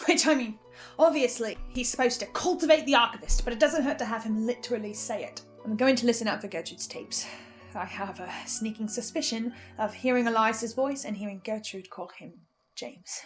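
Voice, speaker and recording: A woman's voice: fairly high pitch, fairly quick, faint music behind. A woman giving semi-scripted theory commentary with a theatrical, emphatic delivery. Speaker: podcast listener sharing theories.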